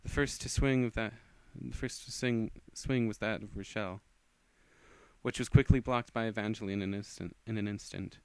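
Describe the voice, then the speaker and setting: A man's voice: on the low side, steady tone, normal speed, gravelly, darkish tone, clean tone, no room sound. A man narrating fan fiction as an amateur reader, often stumbling and rereading phrases.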